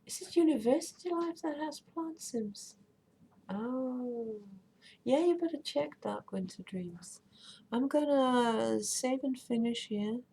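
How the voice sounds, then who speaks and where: A woman's voice: high voice, slow pace, vocal fry, mellow, breathy edge. A woman streamer with Danish as her first language, chatting casually and rambling while she plays and reads chat aloud. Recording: faint background noise.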